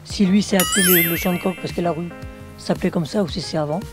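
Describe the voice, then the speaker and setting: A woman's voice: lowish voice, fast. A woman shopkeeper chatting casually as she answers a question. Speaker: fur shop keeper. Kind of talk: casual chat. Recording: music clearly behind the voice.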